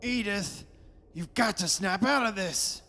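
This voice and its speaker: A male actor plays a blustering, sceptical father in an old-time radio horror play. His voice is high and forceful, insistently arguing. It rings through a reverberant hall with clear hiss. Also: unhurried, heavily acted. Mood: firm denial. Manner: insistent, argumentative. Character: stubborn sceptical father, proud and blustering, rationalising away terror. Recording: hissy recording in a reverberant hall.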